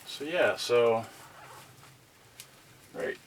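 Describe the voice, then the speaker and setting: A man giving casual, halting unboxing commentary, muttering mildly grumbling asides to viewers. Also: medium pitch, animated. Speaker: unboxing vlogger. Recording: noisy recording with rustling packaging. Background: clicks and crinkling packaging.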